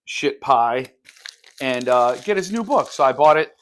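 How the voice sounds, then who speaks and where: A man's voice: mid-range voice, lively intonation, measured pace, fairly bright tone, slight background noise. A man talking casually and unscripted as a book vlogger, thinking aloud in broken, conversational phrases. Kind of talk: casual unscripted talk to viewers.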